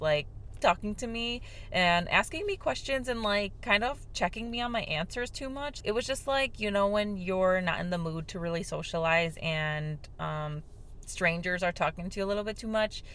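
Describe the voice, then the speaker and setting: A young woman hosting a casual vlog, chatting softly with lots of fillers while sipping a drink, her voice slightly hoarse. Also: on the low side. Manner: talking softly on purpose, filler-heavy and rambling. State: slightly hoarse from shouting the day before. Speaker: vlog host. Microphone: mic without windscreen.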